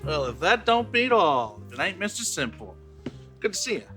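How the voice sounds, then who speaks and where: A man's voice: on the high side, sing-song, moderate pace, bright, forceful tone, faint music behind. A man voicing a friendly, folksy barkeep with drawling speech. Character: folksy male barkeep, friendly old-timer. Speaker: bartender.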